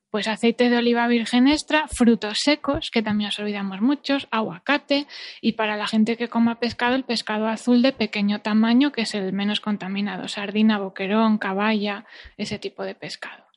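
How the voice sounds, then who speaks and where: A woman with an accent from Spain explaining things as an expert podcast guest in a didactic, emphatic, conversational way. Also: a little high. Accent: Spanish from Spain. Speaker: dietitian-nutritionist, podcast guest.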